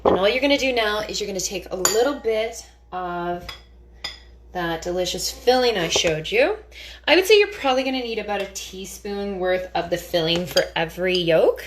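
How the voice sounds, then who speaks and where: A woman's voice: mid-range voice, animated, moderate pace, noisy background. A woman giving a casual cooking demonstration in a chatty, theatrical, playfully enthusiastic way.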